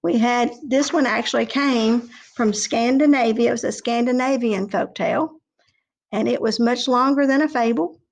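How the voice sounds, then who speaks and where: A woman teaching young children and telling a folk tale in simple, deliberate language. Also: a little high, creaky, low-quality recording. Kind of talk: children's lesson and oral storytelling.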